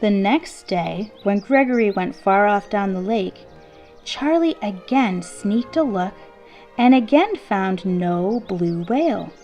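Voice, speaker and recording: Lady reading a kids picture book out loud, slow and expressive, classical symphony playing behind her. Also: medium pitch. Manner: measured, deliberate phrasing with emphasis on key words. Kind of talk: expressive children's story read-aloud. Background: orchestral classical music.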